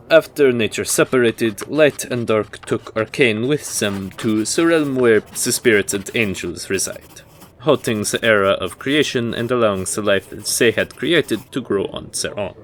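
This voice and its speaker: A man playing a dry, reserved history professor at a fantasy university, lecturing in a measured, formal way for an audio drama. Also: fairly low pitch, a little hiss or hum, lightly acted.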